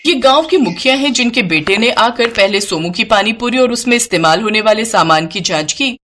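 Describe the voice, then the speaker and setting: A woman narrating an animated moral story with an even storytelling delivery. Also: fairly high pitch, brisk, slight background noise.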